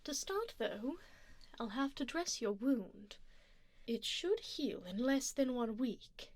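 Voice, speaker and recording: A woman playing an aristocratic, predatory yet tender vampire duchess in an ASMR roleplay, speaking softly and formally and slipping into whispers. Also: fairly high pitch, lively intonation, slow pace, no echo. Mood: poised, doting. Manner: refined, formal, gently commanding.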